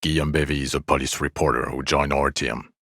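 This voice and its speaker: A male actor playing a bitter, exasperated, foul-mouthed police detective in a video game, scolding with weary sarcasm and contempt in a gravelly voice. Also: rumbling low, flat delivery, a little fast, a bit bright, no echo, studio quality, full character voice. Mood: bitter, sardonic. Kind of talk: video game character dialogue.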